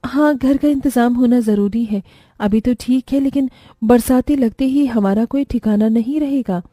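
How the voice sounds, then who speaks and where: Woman as a caring village grandmother, high-voiced. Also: normal speed, dark tone, no echo, natural voice. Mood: practical, caring. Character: elderly village mother-in-law, caring, practical grandmother.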